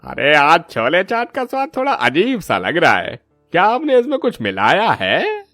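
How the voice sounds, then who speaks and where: A man's voice: fairly high pitch, wildly swooping pitch, normal speed, sharp tone, dry recording, studio quality, soft background music, heavily acted. A man voicing a chatty, enthusiastic street-food customer in a children's cartoon story. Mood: puzzled.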